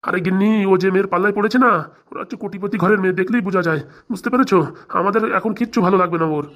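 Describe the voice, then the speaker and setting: A woman's voice: mid-range voice, rapid-fire, lightly acted. A woman voicing a stern but protective older husband and father in a cartoon, exasperated and coaxing. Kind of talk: cartoon domestic drama.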